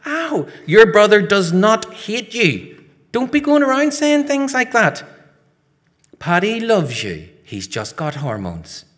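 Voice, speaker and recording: A man's voice: mid-range voice, animated, moderate pace, hardly any pauses, voice pushed up, close to an everyday voice. A man with a Belfast accent voicing a scolding but loving working-class mother, reading aloud from a story. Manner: sharp, teasing scolding.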